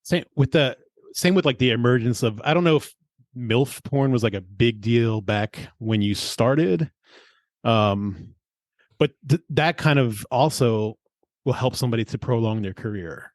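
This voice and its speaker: A man's voice: medium pitch, measured pace, darkish tone, no echo. A man hosting a podcast, chatting informally and agreeably with a guest. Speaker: podcast host interviewing a guest. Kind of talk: casual chat.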